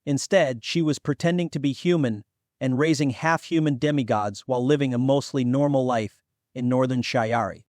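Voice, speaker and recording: Man, medium-pitched, audiobook narrator, scripted fantasy storytelling, dry close sound. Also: normal speed, a little mellow, no room sound.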